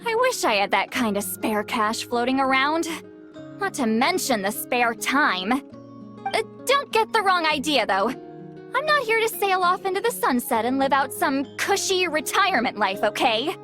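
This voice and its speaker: A woman's voice: high voice, very animated, measured pace, bright, forceful tone, exaggerated voice. A woman playing a grumpy, easily embarrassed young-sounding woman in a video game, huffy and prone to stammer. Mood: dry, defensive. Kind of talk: video game character acting. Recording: clear music behind.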